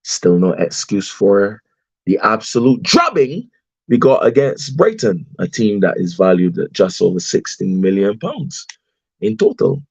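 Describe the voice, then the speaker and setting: A man with a Bajan accent, a podcast guest talking sport in a calm, wry, mock-solemn way. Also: lowish voice, a little slow, husky, no echo, muffled recording. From Barbados. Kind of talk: casual sports chat.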